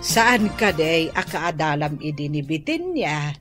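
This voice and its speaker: A woman's voice: deep voice, big pitch swings, measured pace, over loud music. A woman acting in an Ilocano radio drama as an older mother who patiently admonishes and advises her child, with an Ilocano accent. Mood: reproving.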